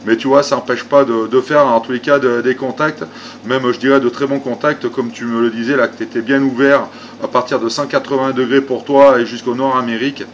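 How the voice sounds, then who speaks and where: A man's voice: mid-range voice, steady tone, a little fast, dull audio, a little hiss or hum. Repetitive, polite man chatting on ham radio. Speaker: amateur radio operator. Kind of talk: casual ham radio conversation.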